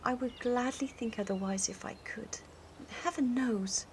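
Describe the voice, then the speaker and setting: A young actress playing a young woman gently releasing her former fiancé with measured, sorrowful words. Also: fairly high pitch, slight background noise. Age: young adult.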